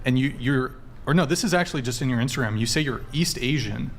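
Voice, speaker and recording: A man's voice: mid-range voice, slight background noise. A man hosting a casual talk show, questioning guests and teasing them. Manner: quizzing, teasing, quick follow-up questions. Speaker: podcast host. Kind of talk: casual chat interviewing guests.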